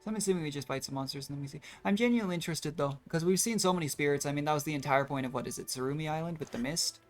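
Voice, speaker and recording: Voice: medium pitch, very fast. A game streamer giving casual, chatty live commentary, musing aloud while playing. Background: faint game music.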